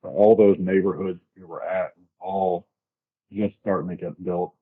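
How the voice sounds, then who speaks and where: An American man from the southern US with a deep, rough-edged voice tells his experiences in a casual, descriptive way. He sounds like an interview guest on a narrow, phone-like connection. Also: fairly even intonation, a little slow. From southern United States, grew up in Florida. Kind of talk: casual first-hand storytelling. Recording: tinny, band-limited remote call.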